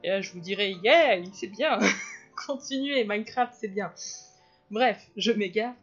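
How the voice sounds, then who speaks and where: A woman's voice: mid-range voice, unhurried, muffled recording, soft background music. A woman chatting casually as she talks through her illustration, rambling into asides and laughing often. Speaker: illustrator and video host. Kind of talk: casual drawing commentary.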